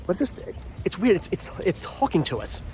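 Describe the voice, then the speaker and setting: A man's voice: high voice, very animated, moderate pace, a little mellow. A man with a stuffy, congested voice from a cold, chatting enthusiastically as he walks outdoors on a rainy town street and films himself. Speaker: vlogger. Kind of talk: walking tour vlog. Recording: light street noise.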